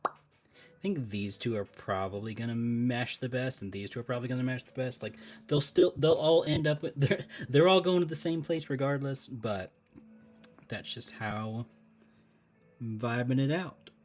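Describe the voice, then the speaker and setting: A man talking casually on a live stream while he draws, thinking out loud, laughing often and chatting with viewers. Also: medium pitch, moderate pace, soft background music.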